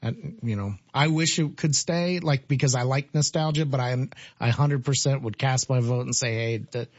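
A man with a mid-range voice chats on a morning radio show. He is agreeable, jumps in often and works through ideas out loud. His mic sounds dry and a little dull. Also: medium pitch, no room sound. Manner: agreeable, chimes in often, works through quick math aloud. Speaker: radio co-host. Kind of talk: casual local radio talk.

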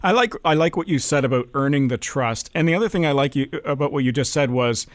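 Guy hosting a casual talk show, mid pitch, dry sound, kinda halting and repeats himself. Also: dry recording. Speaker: show host and former worship leader. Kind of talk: casual conversational interview chat.

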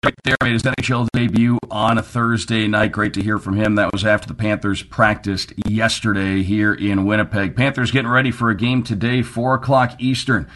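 A man's voice: fairly low pitch, fairly even intonation, brisk, few pauses, fairly bright tone. A man hosting a sports radio show, presenting commentary and introducing interview clips.

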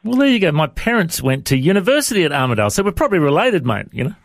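A man's voice: a little high, very animated, racing, creaky, no room sound, studio quality. A man with an Australian accent hosting a podcast interview, friendly and chatty with his guest. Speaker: podcast host.

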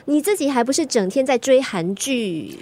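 Teenage daughter, very high voice, Singaporean accent, worried and nagging, radio drama acting. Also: female voice, animated, moderate pace, dark tone. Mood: teasing retort.

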